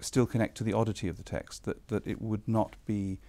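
A man speaks in a mellow, fairly low voice, reflecting on his work as a publisher. He circles back on his words as he thinks, careful and considered. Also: little pitch movement, fairly quick, creaky. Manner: thoughtful, repeats words while searching. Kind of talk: interview remarks.

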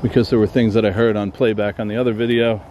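A man hushed and uneasy, talking quietly to his viewers while alone in dark woods at night, recorded on a handheld camera mic. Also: fairly low pitch, little pitch movement, fast, a little hiss or hum. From Florida. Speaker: paranormal explorer vlogger. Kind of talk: hushed solo night exploration vlog. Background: crickets and insects at night.

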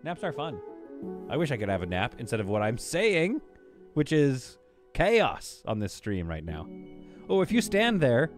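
A Canadian man in his thirties, streaming a game from a home green-screen setup, joking with chat and talking on a boom mic. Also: measured pace, faint music behind. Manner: playful, jokey, lively, swings between loud bursts and quiet asides. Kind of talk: casual livestream chat while building in a game. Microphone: studio mic on a boom arm.

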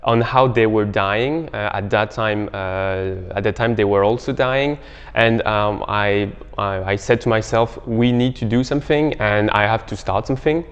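A young man giving halting, explanatory answers as an interview guest. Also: on the low side, clear, resonant tone. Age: young adult. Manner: halting, hesitant phrasing. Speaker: startup co-founder, interview guest. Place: bright indoor living room. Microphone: clip-on lapel mic. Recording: muffled, reverberant room.

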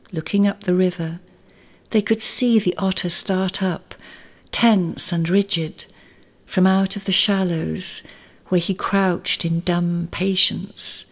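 A woman reading a classic animal story aloud in a soft, gentle, often breathy way. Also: medium pitch. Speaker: reader.

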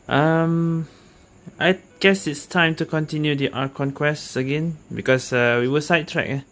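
A man giving casual, low-key, hesitant let's-play commentary as a game streamer.

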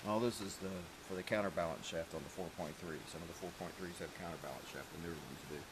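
A man's voice: deep voice, fast. A middle-aged man giving casual, practical how-to instructions in short pieces while he works with his hands in a garage workshop, picked up by a camera mic. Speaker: home mechanic demonstrating an engine rebuild. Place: large metal-walled garage workshop indoors. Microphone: camera mic a step or two away. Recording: noisy workshop recording, clicking tool sounds.

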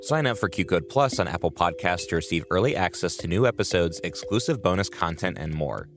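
A man's voice: deep voice, animated, a little fast. A man reading a scripted promotional announcement for podcasts. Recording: music bed behind voice.

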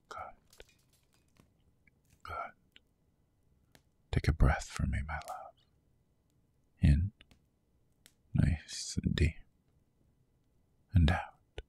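A man playing a seductive, soothing vampire lover in an ASMR sleep roleplay, speaking in a hushed, coaxing, breathy whisper. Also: extremely low pitch, full character voice. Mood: soothing, intimate.

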